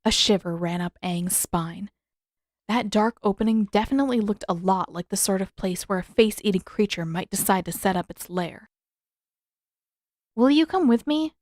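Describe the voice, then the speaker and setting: A woman's voice: mid-range voice, fairly even intonation, moderate pace, dry recording, studio quality. A woman reading scripted fiction aloud as the narrator of an audiobook-style story. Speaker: narrator, fan fiction author.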